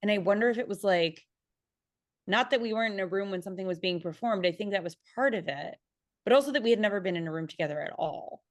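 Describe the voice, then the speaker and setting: A woman's voice: medium pitch, quick pace, dry recording, clean studio sound. A woman musing tentatively as a panelist in a casual discussion among artists.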